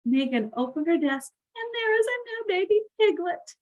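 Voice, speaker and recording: A woman with a high voice hosts a read-aloud for children. She tells the story expressively and in an animated way, turning to address her young listeners directly. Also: moderate pace. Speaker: read-aloud channel host.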